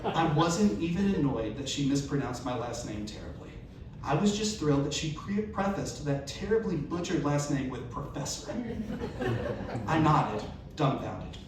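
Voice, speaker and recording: A man with a slightly high-pitched voice reads a comic personal story to a live audience. His delivery is performed, acting out the lines. The sound is very reverberant and picked up from a distance, with clear background noise. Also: lively intonation, moderate pace. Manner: performed delivery, acting out dialogue and self-questions. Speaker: reader at a live storytelling event. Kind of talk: reading a humorous first-person story aloud to an audience. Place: live event venue. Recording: echoey, noisy room recorded from far off.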